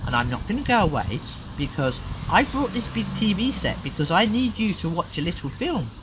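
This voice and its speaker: A little magical man from a storybook as a woman reads him. He speaks firmly and deliberately, with varied intonation, insisting calmly over clear background noise. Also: fairly low pitch. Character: tiny magical little man, firm night visitor.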